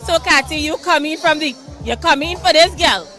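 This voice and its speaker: A Trinidadian woman doing lively, teasing interviews with guests at a party as an event reporter. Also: high voice, normal speed. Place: outdoor party. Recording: crowd chatter and music behind. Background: music and crowd.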